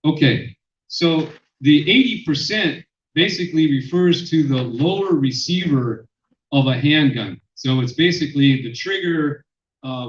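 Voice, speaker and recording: Luide spreker, mannelijk, lezing in zaal met galm, veel "uh" en herstarts, doffe opname. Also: male voice, fairly high pitch. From Mexican-American. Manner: loud, many fillers and restarts. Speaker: sociologist presenter. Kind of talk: public lecture. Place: lecture room. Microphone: handheld microphone, then none. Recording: echoey hall, dull sound.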